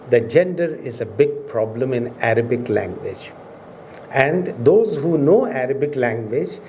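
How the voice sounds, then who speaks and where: An older man with an Indian English accent, whose first language is Urdu, lectures in a museum auditorium in a formal, measured style. His voice sits a little high but sounds soft and mellow, and it jumps upward on emphatic words. A faint hiss runs under the hall recording. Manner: measured, oratorical, with emphatic rises on key words. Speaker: professor giving a public lecture.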